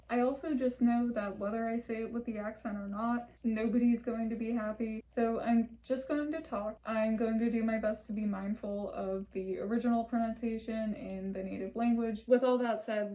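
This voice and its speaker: A woman's voice: fairly high pitch, poor audio. A woman hosting her own channel, reading a scripted video essay in an earnest, apologetic way. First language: not Italian. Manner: soft-spoken, apologetic, earnest. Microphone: camera mic, seated holding a script.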